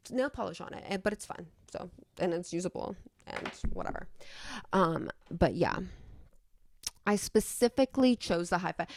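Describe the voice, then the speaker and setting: A woman's voice: mid-range voice, no echo, clean studio sound. A young American woman rambling casually as a vlogger, effusive and sweary in how she talks. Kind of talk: casual rambling chat to camera.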